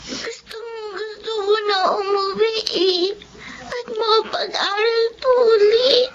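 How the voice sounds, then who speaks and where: A woman's voice: very high-pitched, steady tone, slow, muffled recording, very noisy. A woman voicing a sick young daughter in hospital, polite and tearful, in a radio drama.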